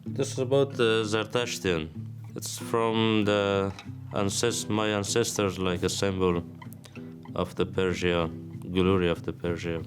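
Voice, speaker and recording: A young Afghan man speaking English with an Afghan accent, telling his life story haltingly with many pauses. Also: low voice, fairly even intonation. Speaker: interviewee. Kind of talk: documentary testimony. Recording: music bed under the voice.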